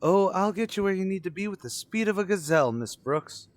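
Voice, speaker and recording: A man plays a teenage boy at high school in a radio comedy. His voice sits fairly high, and he talks eagerly with florid, cheeky earnestness in old-time sitcom style. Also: expressive, fairly quick, dry recording.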